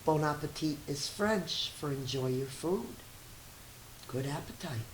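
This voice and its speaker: A woman reading a children's story aloud as a teacher, expressively and with emphatic, measured phrasing. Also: deep voice, animated. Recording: roomy echo with faint hiss.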